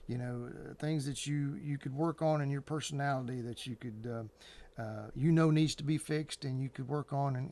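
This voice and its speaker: A man with a mid-pitched, gravelly voice that often dips into fry speaks as if chatting to his viewers. He gives advice in an earnest, colloquial way, halting and repeating himself as he rambles along. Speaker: host of an advice channel.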